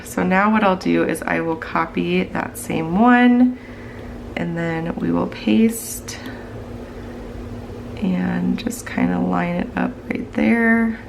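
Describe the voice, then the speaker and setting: A woman's voice: fairly low pitch, gravelly, background noise. A woman with a stuffed-up, congested voice, chatting casually as she plans on her tablet and thinks aloud while she works. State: congested from the flu. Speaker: planning channel host.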